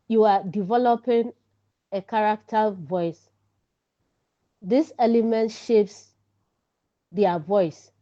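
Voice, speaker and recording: A woman with a Ghanaian accent giving an instructional lecture as a teacher, speaking deliberately in short, emphatic phrases. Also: medium pitch, dark tone. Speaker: writing teacher presenting a lesson. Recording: dull, lo-fi sound.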